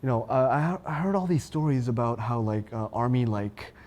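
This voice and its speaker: A man's voice: medium pitch, fairly even intonation, normal speed, darkish tone. A man who grew up in the United States chats as a guest, laughing often and restarting his sentences. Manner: chatty, laughs often, many restarts and fillers. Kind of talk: casual interview chat.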